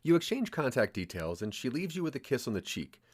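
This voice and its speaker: A man's voice: medium pitch, very animated, fairly quick. A man narrating a scripted second-person story as the narrator of an audio drama.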